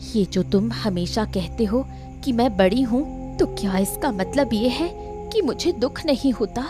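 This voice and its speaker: A woman's voice: on the high side, expressive, unhurried, over music. Woman playing a plaintive, long-suffering elder daughter-in-law. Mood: wounded. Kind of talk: melodramatic animated story acting.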